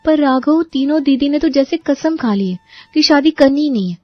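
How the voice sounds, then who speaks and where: A woman's voice: sits high, expressive, moderate pace, no echo, muffled recording. A woman playing an earnest young girlfriend in a cartoon story, pressing for marriage. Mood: earnest, worried. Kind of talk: Hindi cartoon story voice acting.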